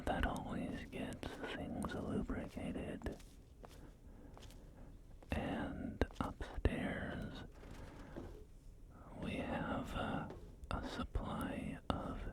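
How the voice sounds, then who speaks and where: A man playing a polite, salesy post-apocalyptic real estate agent in a whispered ASMR roleplay, with hesitant, soft-spoken patter. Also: on the low side, creaky, exaggerated voice. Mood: suggestive, sly. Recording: close whisper under loud music. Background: loud music.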